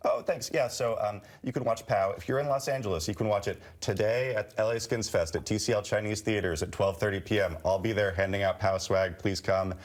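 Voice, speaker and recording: A man's voice: on the low side, a little fast, few pauses. A man with an American accent, chatting casually and enthusiastically as a filmmaker guest in a TV interview. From United States. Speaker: guest, animated film writer-director. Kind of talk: casual promotional TV interview chat.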